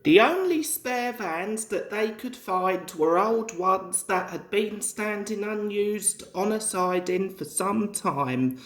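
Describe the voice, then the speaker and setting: A woman reading a children's story aloud in a measured, deliberate way, as a scripted storybook narration. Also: mid-range voice, lively intonation. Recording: echoey room.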